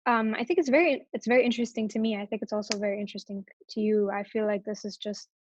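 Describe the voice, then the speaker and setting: A woman's voice: mid-range voice, steady tone, fast, a little mellow, dull audio. A young woman from Morocco, a guest talking casually in an interview and hesitating often with fillers and restarts. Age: young adult.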